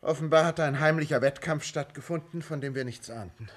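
An actor playing a blunt, excitable government man among scientists, with formal, emphatic radio drama diction. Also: on the high side, fairly quick, close to an everyday voice. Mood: urgent, alarmed. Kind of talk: 1950s German radio drama acting.

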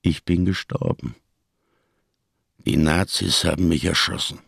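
A ghostly, unbowed giant of a fugitive in a radio crime drama. The voice is extremely deep, creaky and dry, with slow, elaborate, over-careful phrasing and a grave temper. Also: male voice, fairly bright tone, no echo, heavily acted. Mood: grave, defiant.

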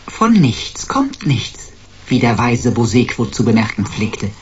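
A cheeky computer sidekick for a sci-fi radio play. The delivery is pompous and sarcastic, full of sweeping, mock-servile flourishes at a medium pitch. The sound is a little muffled, with faint noise behind it. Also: male voice, sing-song, measured pace. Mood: mock-servile, smug.

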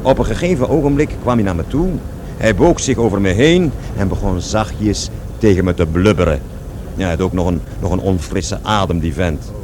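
A man's voice: low-pitched, animated, moderate pace, noisy background. An actor playing a defiant young man who recalls his schooldays and his father in colloquial, scornful slang for a radio drama. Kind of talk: radio drama acting, animated storytelling.

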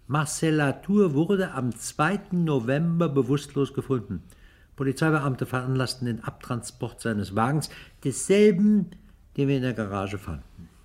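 Stern police detective, radio crime drama, animated swinging pitch, husky edge, argumentative, emphatic. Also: male voice. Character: stern, insistent male police detective, sure of his case.

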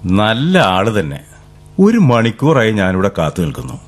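An actor playing an eager young cousin who helps at the family newspaper, chatty and coaxing. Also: male voice, lowish voice, wildly swooping pitch, normal speed. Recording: vintage radio broadcast with music.

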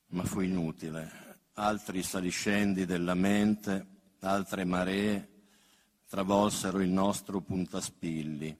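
A man with a deep voice gives a solemn poetry recitation. He speaks very slowly, with grave, measured intimacy, and the sound rings in a long hall reverb. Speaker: poet reading own work.

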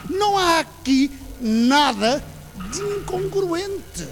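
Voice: very high voice, animated, slow, exaggerated voice. A student actor playing a flustered official who stammers constantly, in a radio drama with music behind. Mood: anxious.

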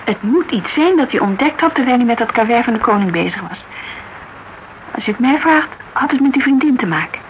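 Muffled radio drama, actress as young woman client, higher voice, earnest, eager to help, slight background noise. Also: lively intonation, brisk, close to an everyday voice. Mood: earnest, confiding. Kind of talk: Dutch radio drama acting. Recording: dull, noisy radio play recording.

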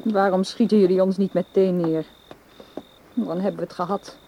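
An actress playing a determined, distrustful young heroine in a radio drama, challenging and insistent. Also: female voice, mid-range voice, steady tone, moderate pace, warm tone, natural voice, rough recording. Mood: defiant, accusing. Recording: faint background noise.

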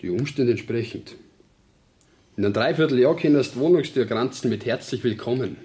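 Actor as a jovial Bavarian ex-convict. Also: male voice, mid-range voice, fairly quick, dark tone, close to an everyday voice. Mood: jovial, courteous. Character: jovial, flowery-talking male ex-convict, a courteous rogue. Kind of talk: 1970s German radio play acting in dialect.

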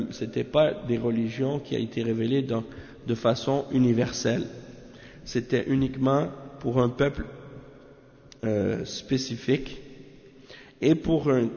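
A man with a cold, teaching a religious commentary and explaining it in halting, restarting French. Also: medium pitch, vocal fry, a little mellow. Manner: halting, many restarts and fillers. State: has a cold. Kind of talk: reading Arabic text, then explaining in French. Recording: muffled roomy lo-fi recording.

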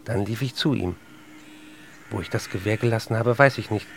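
A man playing a tormented, intense writer who confesses and narrates in measured, intimate speech in a radio play. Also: lowish voice, vocal fry, slight background noise. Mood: haunted recollection.

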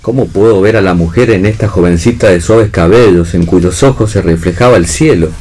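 A man's voice: sits low, a little fast, a little mellow, a little hiss or hum, natural voice. A man playing a tormented, lovelorn romantic in a read radio play.

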